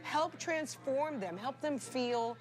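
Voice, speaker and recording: A woman's voice: sits high, expressive, slow. A woman community organizer giving an earnest, casual interview.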